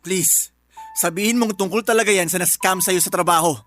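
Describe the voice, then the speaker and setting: A woman's voice: on the low side, fairly quick, a bit bright, lightly acted. A woman with a Filipino accent playing an angry, suspicious girlfriend in a radio drama, sarcastic and confrontational. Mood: tense, demanding. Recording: music under the voice. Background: background music.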